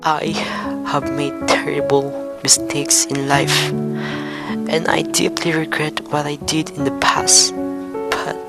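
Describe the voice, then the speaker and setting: A woman's voice: deep voice, level tone, creaky, full character voice. A woman playing a remorseful figure solemnly confessing past mistakes in an amateur play. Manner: slow, solemn. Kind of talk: dramatic monologue in amateur play. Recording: loud music over the voice. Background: loud instrumental music.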